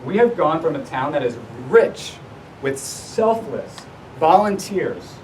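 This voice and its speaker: Male resident for formal, earnest public-comment speech; deliberate emphasis, recorded at a distance with rumbling background noise. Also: darkish tone. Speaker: resident addressing a town council. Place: indoor public council meeting. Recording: distant mic, noisy room with low rumble.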